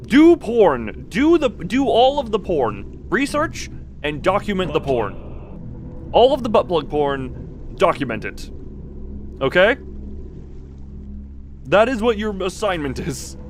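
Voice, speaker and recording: A man's voice: high voice, big pitch swings, slow pace, long pauses, a little hiss or hum. A man chatting casually on a livestream as host, exclamatory and playfully exasperated. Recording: music behind the voice. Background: loud background music.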